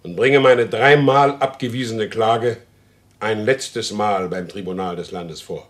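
An actor in a German radio play, playing a proud, stubborn horse dealer who demands justice in solemn, formal speech. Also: male voice, lowish voice, unhurried, lightly acted. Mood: restrained resolve.

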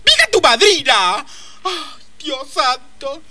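Man playing shrill, indignant older Argentine mother. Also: wildly swooping pitch, slow, pushed and bright, no echo, heavily acted. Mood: desperate. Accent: Rioplatense Argentine. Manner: vulgar, complaining, insistent. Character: indignant, meddling older mother, loud and melodramatic. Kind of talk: broad audio comedy sketch.

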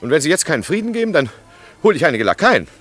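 A pompous, oily aristocrat, with a very animated, swooping delivery. He is affected and mannered in his courtesy, in 1950s radio drama style. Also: fairly high pitch, fast. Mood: indignant, flustered. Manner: pompous, affected, flustered politeness. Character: oily, pompous baron, a thieving ladies' man. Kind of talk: 1950s German radio play acting.

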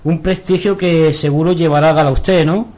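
A man's voice: on the high side, fairly even intonation, normal speed, a little mellow. A man hosting a radio interview, asking questions formally and politely. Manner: formal, uses usted. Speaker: radio host.

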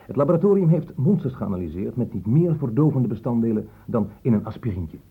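An actor playing a cynical police inspector in a radio drama, blunt, sardonic and colloquial. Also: male voice, medium pitch, very animated, brisk, breathy edge, heavily acted. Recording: muffled phone-like old radio recording.

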